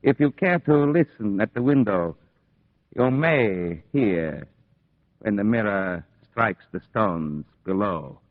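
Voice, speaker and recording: Sinister male vampire aristocrat, slow delivery that swoops theatrically, thin vintage radio sound. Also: fairly low pitch, animated, dry recording, phone-quality sound. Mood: cold, commanding. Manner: slow, theatrical, menacingly deliberate. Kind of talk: old-time radio horror play acting.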